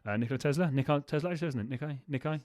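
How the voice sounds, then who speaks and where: A man hosting his own podcast solo, talking in a rambling, motivational way with a slightly croaky voice. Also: on the high side, racing, darkish tone, no echo, clean studio sound. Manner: rambling, self-correcting, motivational. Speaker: podcast host.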